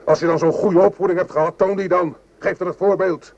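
A man's voice: high voice, steady tone, brisk, gravelly, darkish tone. An actor playing a French army man on the march in a radio drama. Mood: commanding anger. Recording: muffled, noisy old radio recording.

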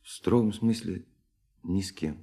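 An actor in a Russian radio play playing a shy, lonely dreamer, hesitant and earnest, with a soft husky voice. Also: male voice, deep voice. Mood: defensive, wistful. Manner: hesitant, halting, flustered, earnest.

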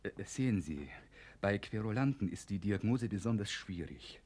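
A man acting in a German radio play as a scheming, hesitant psychiatry professor with a halting, pedantic manner. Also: on the low side, lively intonation, darkish tone. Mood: coaching, deliberate. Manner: halting, pedantic, emphatic on diagnoses. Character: scheming, hesitant male psychiatry professor, protective of his patient. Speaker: actor.

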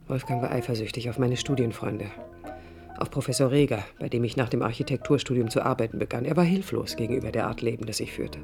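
An actress playing a disillusioned young woman architect in a radio drama, recalling her life in a low, husky, intimate monologue. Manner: reflective, intimate, literary.

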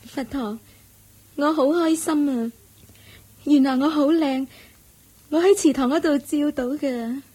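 An actress playing a naive, vain young servant girl in a Cantonese radio drama, speaking softly and dreamily. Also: high-pitched, a little slow, long pauses. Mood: dreamy. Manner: dreamy, childlike, soft-spoken.

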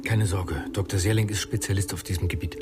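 An actor playing a sober, determined man helping with a mystery, thoughtful and low-key, in a radio drama. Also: fairly even intonation, a little fast, music in the background, lightly acted. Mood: reassuring.

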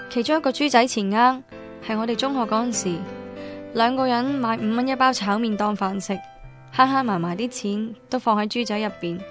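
A woman's voice: mid-range voice, close to an everyday voice. An actress playing a heartbroken, defiant young woman in an emotional, emphatic radio drama style. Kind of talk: Cantonese radio drama narration and acting.

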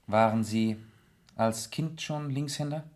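A mid-pitched gentleman sleuth played by a male actor, calm and shrewd. He questions with deliberate, probing care, weighing his words in the style of 1970s German radio drama. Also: close to an everyday voice. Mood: calm, inquisitive.

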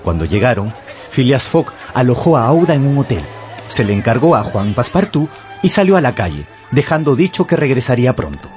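A male narrator with a mid-pitched voice and lively, expressive intonation. He delivers scripted radio drama storytelling with clear articulation over prominent background music. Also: a little fast. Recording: music behind the voice.

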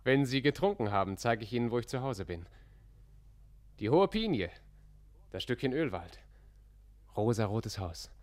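An actor in a German radio play, playing a charming, roguish drifter who woos a traveller in a seductive, intimate way. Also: male voice, medium pitch, lively intonation, moderate pace, long pauses, darkish tone, no echo, close to an everyday voice. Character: charming roguish local drifter wooing a traveller, by turns urgent.

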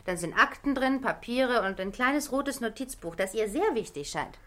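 An actress in a German radio play, playing a cheeky young housemaid who is coquettish, teasing and gossipy. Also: female voice, on the high side, lively intonation, normal speed, a bit bright. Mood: gossipy, confiding.

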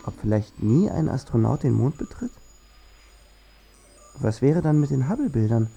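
Inquisitive sceptic, animated, soft-toned. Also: male voice, medium pitch, moderate pace, vocal fry, soft background music. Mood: questioning, sceptical. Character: man sceptically questioning the moon landing. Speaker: radio play speaker. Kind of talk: scripted German radio drama, questioning narration.

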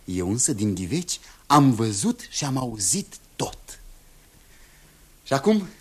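An actor playing a bold, lively young hero on horseback in a 1960s radio drama. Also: male voice, mid-range voice, close to an everyday voice. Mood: earnest. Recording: hissy 1960s radio recording.

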